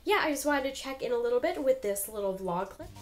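A woman's voice: high-pitched, expressive, fast, loud music behind the voice. Chatty young woman with a stuffy nose. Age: young adult. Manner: chatty, many ums, upbeat. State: stuffed up from a cold. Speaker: vlogger. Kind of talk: casual chat. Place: bedroom indoors. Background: fan humming.

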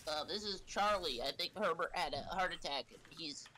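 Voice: medium pitch, normal speed, pushed and bright, a little hiss or hum, close to an everyday voice. A performer voicing a scared young hostage boy, asking hesitant questions. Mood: timid.

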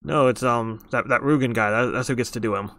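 A man's voice: mid-range voice, fairly quick, a bit bright, no echo, clean studio sound. A man talking casually and informally during an online script read on a video call. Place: indoors on a group video call.

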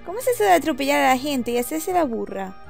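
A woman's voice: high-pitched, sing-song, fast, vocal fry, faint music behind, exaggerated voice. A woman voicing a brash, scolding young stage actress from a video game, with an attempted Argentine accent. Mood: indignant.

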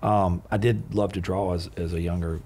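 A middle-aged man hosting a podcast in a studio, talking into a boom mic as a blunt, animated storyteller in casual chat. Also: moderate pace, husky. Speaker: podcast host. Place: podcast studio with wooden bar shelves. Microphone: boom mic and headphones.

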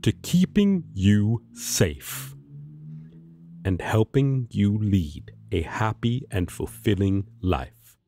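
A man's voice: fairly low pitch, big pitch swings, takes it slowly, warm tone, full character voice. A man playing an earnest, righteous, devoted guardian angel in an audio roleplay, speaking formally and solemnly.

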